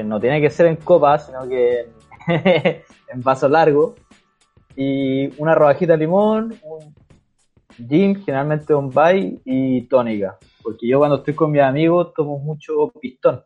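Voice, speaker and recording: A man talks casually as a podcast panelist. His voice is a bit high for a man. It comes through thin and phone-like, with music in the background. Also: unhurried. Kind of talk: casual chat.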